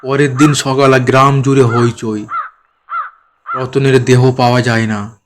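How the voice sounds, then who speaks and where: Slow-paced man narrating horror, noisy background. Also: little pitch movement. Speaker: storyteller. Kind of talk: scripted horror story narration. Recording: clear noisy ambient rumble behind narration.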